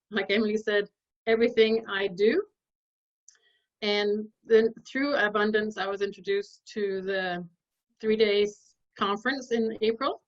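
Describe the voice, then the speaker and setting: Woman from Denmark, mid pitch, relaxed consultant talking on a muffled video call. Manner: relaxed, conversational, frequent fillers.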